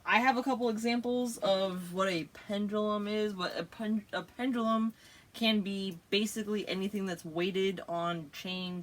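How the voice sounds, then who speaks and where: A woman hosting a casual chat, explaining things in a giggly, chatty way with plenty of fillers. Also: medium pitch. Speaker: podcast host.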